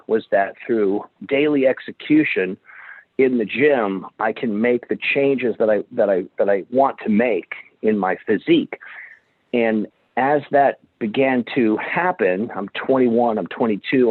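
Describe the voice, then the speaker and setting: A man in his fifties telling his career story as a guest, rambling with many restarts. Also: medium pitch. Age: 50s. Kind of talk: storytelling interview. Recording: dry tinny phone-like line.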